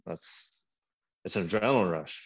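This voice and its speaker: A man's voice: sits low, moderate pace, slightly rough, lo-fi sound. A man who hunts coyotes, a guest on a podcast, talking in a laid-back, plain-spoken way. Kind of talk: casual hunting chat.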